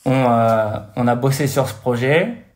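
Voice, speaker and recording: A man's voice: mid-range voice, expressive, a little slow. A French man with a slight American-tinged accent, a guest chatting casually over a remote call, often losing his words and restarting. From France. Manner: searching for words, many restarts and fillers. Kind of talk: casual interview chat. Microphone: remote call over computer.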